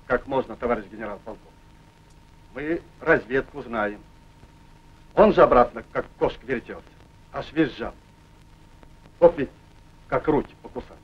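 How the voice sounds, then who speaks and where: A man's voice: mid-range voice, moderate pace, long pauses, breathy edge, natural voice. An actor playing a plain-spoken Soviet scout soldier telling his general how the prisoner was caught, in folksy 1940s film acting. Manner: folksy storytelling. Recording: dull old film soundtrack.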